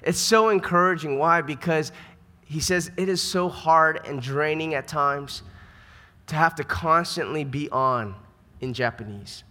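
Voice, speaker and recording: Male American preacher, slightly high bright voice, earnest and conversational sermon delivery. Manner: earnest, conversational, with emphatic repetition. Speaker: pastor. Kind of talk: sermon to a church congregation. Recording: reverberant hall sound.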